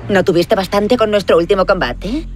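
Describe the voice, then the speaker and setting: A woman voicing a feisty, sarcastic female animal warrior in a cartoon, sharp-tongued and sardonic. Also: mid-range voice, normal speed, close to an everyday voice.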